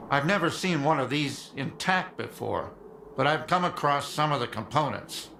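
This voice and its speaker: A man's voice: mid-range voice, fairly bright tone, a little hiss or hum. An actor playing a weary older man who runs a radio from a bunker, in video game dialogue. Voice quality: gravelly. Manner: calling out call signs over the radio.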